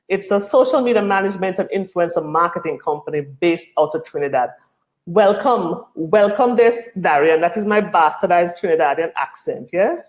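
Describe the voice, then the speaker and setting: Chatty woman hosting live. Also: medium pitch. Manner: chatty, upbeat, many fillers. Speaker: host. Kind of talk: live online tech show hosting.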